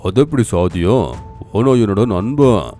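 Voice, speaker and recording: A simple-minded cartoon bear, voiced by a man, easily taken in. The voice is very low and dark, with light music behind it. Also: animated, unhurried. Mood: puzzled, trusting. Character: gullible, honey-carrying male bear. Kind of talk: animated animal fable dialogue.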